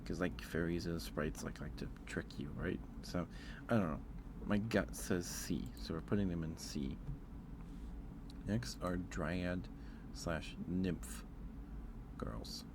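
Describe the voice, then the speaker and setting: A man's voice: sits low, takes it slowly, long pauses, noisy background. A man streaming ASMR in a casual, hesitant way, repeating his phrases as he thinks aloud. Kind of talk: ASMR livestream, casual chat ranking things.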